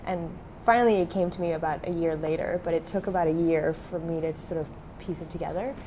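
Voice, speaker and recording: A woman's voice: on the low side, fast talker, dark tone, a little hiss or hum, rough recording. A young adult woman who grew up in Switzerland, talking casually as a startup founder in an interview, with many fillers.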